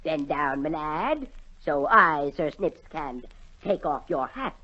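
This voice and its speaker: A woman's voice: sits low, animated, slow pace, full character voice. A woman playing a haughty, fussy male royal hat maker, pompous and sputtering, in 1940s radio acting style. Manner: pompous, self-important, sputtering. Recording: dull vintage 1940 radio recording.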